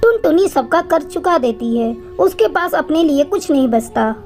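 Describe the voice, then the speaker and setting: A woman's voice: high voice, lively intonation, moderate pace, darkish tone. A woman reading scripted narration for a children's cartoon moral story. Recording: music behind the voice.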